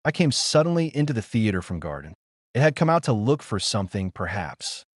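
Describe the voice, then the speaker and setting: A man's voice: fairly low pitch, very animated, fairly quick, dry recording. Man acting a robot butler, anxious, deferential, urgent, swooping intonation, dry sound, audiobook character. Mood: distressed, insistent. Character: frightened, loyal android butler, formal and deferential.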